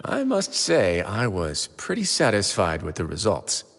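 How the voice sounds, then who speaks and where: A calm, courteous warden. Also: male voice, low voice, creaky, long reverb tail, soft background music, exaggerated voice. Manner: measured, polite, formal.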